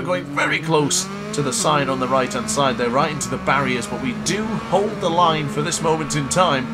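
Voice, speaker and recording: A young man with a cold, brisk and congested, commentating a motorbike race in a game. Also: on the high side. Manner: brisk commentator-style narration, self-correcting. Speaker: gaming commentator. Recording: loud game music behind.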